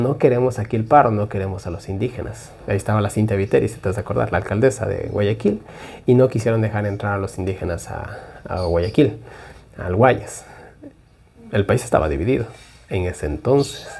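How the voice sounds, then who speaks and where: A man's voice: on the low side, vocal fry, mellow, breathy edge. Chatty Ecuadorian man teaching prophecy. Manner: rambling, conversational, many asides and "¿ya?" tags. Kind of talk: informal Bible study talk.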